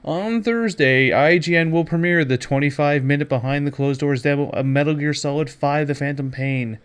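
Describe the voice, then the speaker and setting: A man's voice: fairly high pitch, moderate pace, smooth. Casual, opinionated male gaming podcaster. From Canada. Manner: opinionated, sweary, mocking asides. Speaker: podcast host. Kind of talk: casual gaming news commentary, monologue.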